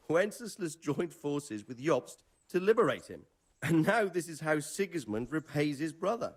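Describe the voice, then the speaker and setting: An actor playing a knowledgeable older medieval lord in a video game, explaining things in a measured way. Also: male voice, on the high side. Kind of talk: video game dramatic dialogue.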